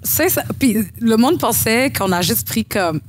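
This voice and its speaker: A woman's voice: fairly high pitch, animated, a little slow, slight background noise. A woman with a Québécois accent, a bride appearing as a podcast guest, talking at length in a chatty way with many fillers. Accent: Québécois French. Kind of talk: casual interview chat.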